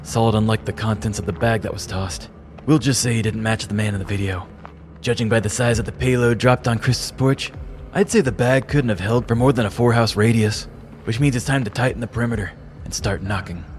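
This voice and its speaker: A man playing a dry, deadpan detective narrating in noir style in a comedy sketch. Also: lowish voice, brisk, background noise, natural voice. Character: deadpan middle-aged male detective.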